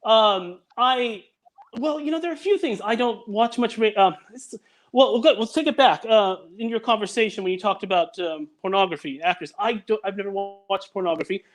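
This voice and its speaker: A man's voice: high voice, fairly quick. A young man chatting casually as a guest, hesitant and rambling. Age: young adult. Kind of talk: casual chat.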